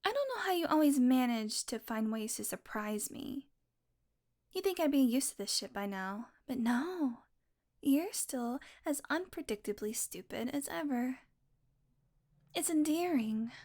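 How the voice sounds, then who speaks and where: A woman's voice: high-pitched, lively intonation, unhurried, a little mellow, full character voice. A woman voicing a teasing, possessive vampire who dotes on her prey, speaking intimately and smugly to the listener in an audio roleplay. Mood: exasperated, fond. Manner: smug, flirtatious teasing with mock annoyance.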